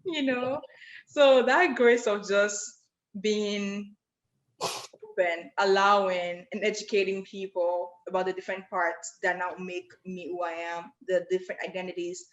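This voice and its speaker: A woman from Nigeria with a partly Americanized Nigerian accent, a podcast guest sharing personal stories in an open, animated way. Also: medium pitch, unhurried, highs cut off. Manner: open, storytelling, animated when recounting. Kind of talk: casual personal conversation.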